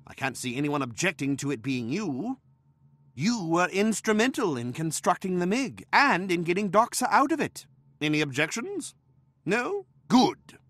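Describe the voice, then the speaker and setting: A man playing an excitable, proud gnome-like team leader in a video game, commanding and theatrical. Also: high-pitched, no room sound, full character voice. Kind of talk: video game cutscene acting.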